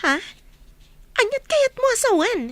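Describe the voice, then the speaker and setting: A woman's voice: very high voice, very animated, unhurried, dry recording, heavily acted. A woman with an Ilocano accent acting a brazen, self-satisfied young mistress in a radio comedy-drama. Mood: scornful.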